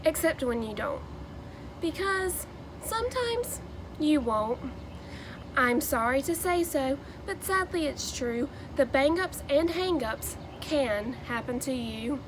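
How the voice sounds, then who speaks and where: A woman, reading aloud to children in a deliberate, sing-song storybook way. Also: sits high, expressive, noisy background. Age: 20s. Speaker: new education graduate reading a children's book.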